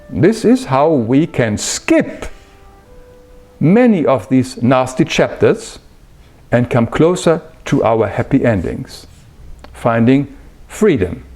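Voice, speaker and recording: A man giving an explanatory spiritual talk in an earnest, emphatic manner with measured phrasing. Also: mid-range voice, sing-song, darkish tone. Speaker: spiritual teacher.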